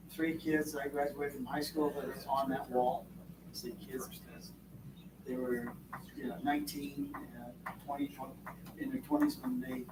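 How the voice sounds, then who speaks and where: A man's voice: medium pitch, far from the mic. Man speaking from afar in an echoey room. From Vermont. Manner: rambling, folksy, halting with fillers. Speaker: school board member. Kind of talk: board meeting remarks. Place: school board meeting room. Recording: echoey room, mic from afar, background noise.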